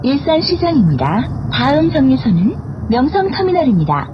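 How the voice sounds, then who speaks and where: A woman reading scripted transit stop announcements in a formal, clearly enunciated way. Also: high voice, sing-song, moderate pace, muffled recording. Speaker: bus announcer. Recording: clear vehicle noise throughout. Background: bus ambience and vehicle noise.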